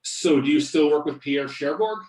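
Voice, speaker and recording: A man's voice: fairly high pitch, moderate pace, darkish tone, lightly acted. A man playing an attentive, probing interviewer in an amateur readers theater held over a conference call. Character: attentive male interviewer questioning a UFO researcher. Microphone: videoconference or conference call. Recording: roomy, echoing videoconference audio.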